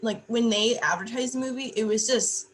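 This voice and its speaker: A woman's voice: fairly high pitch, normal speed, a crowd in the background. A young woman in casual podcast chat, chiming in with short remarks.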